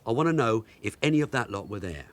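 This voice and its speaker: An actor playing a shrewd veteran detective sergeant, wry and blunt, in a naturalistic radio drama. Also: male voice, fairly low pitch, sing-song, fast. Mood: firm, pressing.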